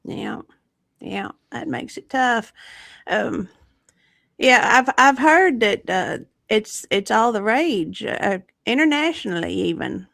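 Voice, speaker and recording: A woman's voice: fairly high pitch, expressive, slow, gravelly, a bit bright, dry recording, clean studio sound. A woman playing a folksy grandma who hosts a chat show, talking in a hesitant drawl. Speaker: host. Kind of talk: improvised comedy chat in character.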